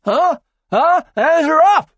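Brief: a man playing a big threatening man, slurred and shouting. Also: extremely high pitch, expressive, takes it slowly, no echo, voice pushed up, exaggerated voice. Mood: angry. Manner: growling, mushy, confrontational. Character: big angry man with mushy diction.

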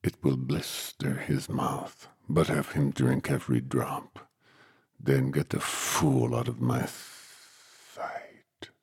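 A sinister, cold sorcerer from a dark storybook. The voice sits extremely low and creeps along very slowly in a husky, menacing near-whisper. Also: male voice, fairly even intonation, dry recording, studio quality, full character voice. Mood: cold command. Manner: slow, drawn-out, menacing.

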